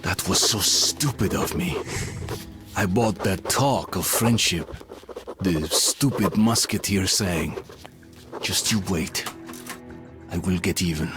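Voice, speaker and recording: A man's voice: low voice, slow, long pauses, over music. An actor playing a brooding captive soldier in a video game, narrating his bitter thoughts as an inner monologue. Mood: bitter, reflective.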